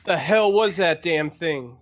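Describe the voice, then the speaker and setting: Higher-pitched man on a lo-fi mic. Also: expressive, takes it slowly, faint music behind. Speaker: player in a tabletop roleplaying game. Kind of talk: casual, animated game-table banter.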